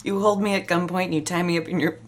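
A woman's voice: on the low side, steady tone, fast, close to an everyday voice. A woman playing a defiant captive who is sarcastic, scornful and foul-mouthed in a dramatic performance. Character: defiant, mocking female captive with a sharp tongue.

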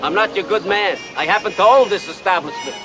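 An actor playing a gruff, proud hot dog vendor in a comic film. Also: male voice, high-pitched, brisk, loud music behind the voice, close to an everyday voice, poor audio. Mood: indignant.

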